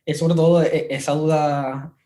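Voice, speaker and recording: A man's voice: on the high side, level tone, a little slow, warm tone. A man interviewing casually, politely and tentatively, circling his way toward each question. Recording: roomy, echoing sound.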